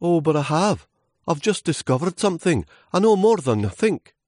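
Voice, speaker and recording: Man voicing a high, timid little storybook leaf. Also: sing-song, slow, darkish tone, no room sound, studio quality, heavily acted. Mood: happy, excited. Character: lonely, timid little autumn leaf, eager and naive.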